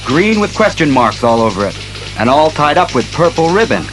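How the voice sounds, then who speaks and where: A self-assured masked crimefighter in an audio drama, with music clearly behind him. His voice is slightly high and expressive, his remarks terse, dry and businesslike. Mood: intent, investigating. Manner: terse, deliberate observations.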